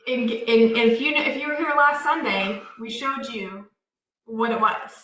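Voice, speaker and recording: A woman's voice: a little high, measured pace. Chatty woman in her thirties, roomy and distant. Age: 30s. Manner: chatty, excitable, storytelling. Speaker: livestream co-host. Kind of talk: casual chat on a homestead livestream.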